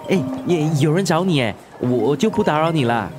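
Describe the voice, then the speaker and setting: A man's voice: on the high side, animated, normal speed, over music, natural voice. An actor playing a good-natured, hardworking expectant father in a radio drama, easygoing and chatty. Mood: cheerful, content. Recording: clear street and traffic noise.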